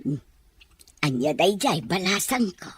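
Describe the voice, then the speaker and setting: A woman playing a cheerful, teasing older housemaid in an Ilocano audio drama, motherly and expressive. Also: on the low side, a little slow, a little hiss or hum. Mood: curious.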